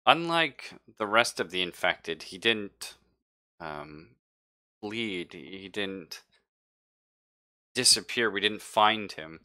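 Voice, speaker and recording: Slow, low-voiced man, bright tone. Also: lively intonation. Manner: halting, thinking aloud. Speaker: game master. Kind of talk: improvised narration for a tabletop roleplaying game.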